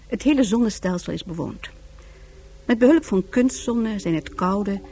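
A woman's voice: medium pitch, warm tone. A woman narrating a science fiction radio serial in a scripted storytelling style.

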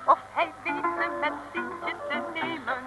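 A woman's voice: extremely high pitch, measured pace, over loud music, low-quality recording. A woman performing the rhymed, comic theme song of an old radio serial.

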